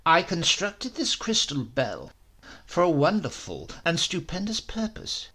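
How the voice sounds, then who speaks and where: A man voicing a grim, obsessed professor of crank science in a horror audiobook, grandiose, intense and deliberate. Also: fairly high pitch, wildly swooping pitch, pushed and bright, breathy edge, full character voice. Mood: fervent, lecturing.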